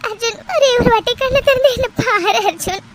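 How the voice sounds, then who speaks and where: Woman acting very high-pitched, tearful, pleading young woman. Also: fairly quick. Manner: tearful, pleading, repetitive begging. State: crying. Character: distraught, devoted young woman pleading with her injured beloved. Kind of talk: melodramatic Tamil audio drama acting. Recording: noisy recording with clicks.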